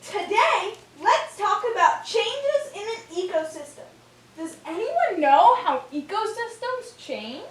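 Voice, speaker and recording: A woman's voice: very high voice, sing-song, slow, full character voice. A woman voicing a schoolteacher puppet in an amateur scripted show, praising and prompting her class with questions. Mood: instructive. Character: chirpy puppet schoolteacher lady leading a science class. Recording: echoey room, distant mic, faint noise.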